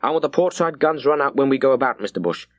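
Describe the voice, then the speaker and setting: A man voicing a curt, decisive British naval captain, barking crisp orders in an audiobook. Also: medium pitch, animated, quick pace, no room sound, dull audio, voice pushed up, natural voice. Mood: brisk, excited. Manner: barks crisp orders, clipped and urgent. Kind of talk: dramatised audiobook character.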